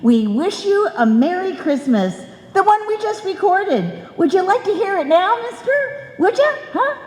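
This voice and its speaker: Very high, chirpy little kid. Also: female voice, sing-song, unhurried, darkish tone, heavily acted. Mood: excited. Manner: gushing, childlike slangy talk. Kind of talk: live old-time radio comedy acting. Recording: echoey hall, live stage performance.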